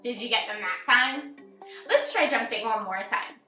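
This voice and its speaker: A woman hosting a children's read-aloud and movement lesson, instructing young children in an animated, singsong way. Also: a little high, moderate pace. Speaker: children's yoga and story time host. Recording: roomy echo, distant mic, music bed. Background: music.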